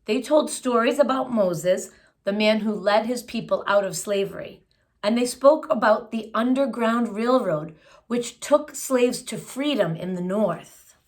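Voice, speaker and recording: A woman's voice: on the high side, animated, a little slow. A woman reading a children's picture book aloud, phrasing it expressively and adding simple explanatory asides for young listeners. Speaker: children's story reader.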